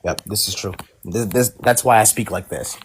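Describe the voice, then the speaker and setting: A man interviewing a guest in relaxed conversation, reacting with casual slang. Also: lowish voice, moderate pace, a little hiss or hum.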